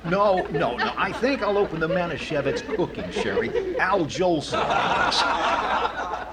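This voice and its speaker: A fussy, mild tightwad played by a male actor in a vintage radio comedy. His lighter voice rises and falls between deadpan pauses, on a noisy recording with an audience. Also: a little high, a loud crowd, exaggerated voice. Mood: bemused. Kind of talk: 1940s radio comedy acting. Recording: noisy, laughing audience behind.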